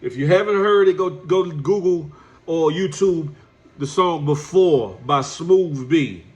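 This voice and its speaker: A congested man with a nasal, stuffed-up voice speaks in a deliberate, unhurried way, drawing his words out. His pitch sits a little high for a man as he lectures a fellow creator in a preachy, brotherly tone. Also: a little mellow. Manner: drawn-out, preachy, addresses the subject as "my brother". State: congested from allergies. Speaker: YouTube commentator. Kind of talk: direct-address commentary vlog.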